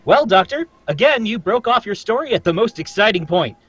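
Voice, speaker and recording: A chummy old-time radio pitchman, played by a man, high in pitch and full of swoops. He sells in a folksy, confiding way, through a slightly muffled mic. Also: sing-song, fairly quick, fairly bright tone, slightly rough, exaggerated voice. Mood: chummy, persuasive. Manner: folksy, salesmanlike. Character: chummy, eager radio announcer pitching wine. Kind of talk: 1930s-40s radio announcer reading.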